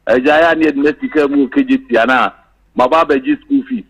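A man calling in to a radio show, talking in a halting way with many fillers. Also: mid-range voice, slightly rough, highs cut off. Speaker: caller.

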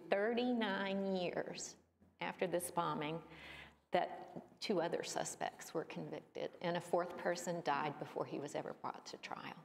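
A woman in her sixties giving an unhurried slideshow talk at a church, with thoughtful pauses and fillers. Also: on the low side, in a large hall. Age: 60s. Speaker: church presenter. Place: church hall.

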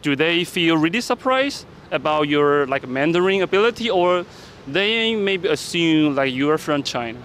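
Young man from Taiwan, YouTube street interviewer; fairly high and animated, hesitant English; faint street noise. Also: moderate pace. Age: young adult. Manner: friendly, hesitant English with many "uh"s and "yeah"s. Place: city sidewalk outdoors by a road. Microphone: handheld mic. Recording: outdoor street noise with traffic.

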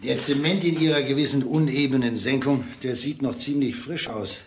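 An actor playing a gruff, sardonic chief police inspector in a German radio crime drama, curt and commanding. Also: male voice, mid-range voice, normal speed, a little hiss or hum, close to an everyday voice. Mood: probing, dry. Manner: curt, dryly sarcastic, commanding.

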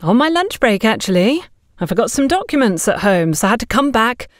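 Woman playing an excitable, higher-pitched young neighbour. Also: fairly quick, no room sound, studio quality. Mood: excited, cheerful. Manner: excited, chatty.

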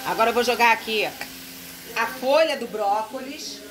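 A woman's voice: on the high side, normal speed, noisy background. A woman in her forties from Brazil, chatting straight to viewers while she cooks in her home kitchen, filmed on a phone held close. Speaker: home cooking vlogger. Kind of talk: casual cooking vlog. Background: food sizzling in a pan, music.

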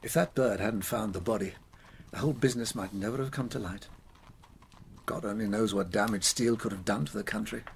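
An actor playing a loyal, modest English doctor in a radio drama, gentle and sincere in manner. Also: male voice, fairly low pitch, fairly quick, creaky, a little hiss or hum. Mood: puzzled. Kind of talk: BBC radio drama acting.

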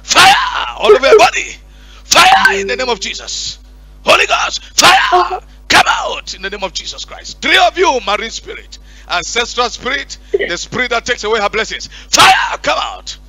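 Man pastor, bright commanding voice. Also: extremely high pitch, wildly swooping pitch, normal speed, breathy edge, no echo. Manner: commanding, shouting at spirits. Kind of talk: deliverance prayer and counseling. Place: church stage with curtain backdrop. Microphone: headset mic.

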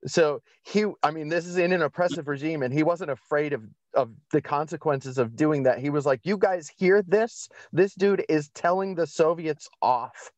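A man's voice: on the high side, moderate pace, few pauses, no echo. A man speaking as a podcast guest, telling and explaining things in a conversational, emphatic way and often correcting himself. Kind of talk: conversational interview storytelling. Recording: slightly dull lo-fi audio.

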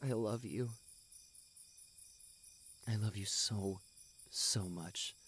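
Romantic roleplay lead: devoted young boyfriend male actor, soft, sincere, slow and tender. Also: fairly low pitch, faint music behind, heavily acted. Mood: tender, earnest. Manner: soft, tender, earnest, unhurried.

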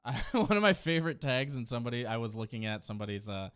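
A man's voice: mid-range voice, expressive, fast, a bit bright, clean tone. A man in his thirties giving enthusiastic, slangy reaction commentary in a casual style. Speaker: video host reacting to game trailers. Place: small indoor room, streaming setup. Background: game trailer music.

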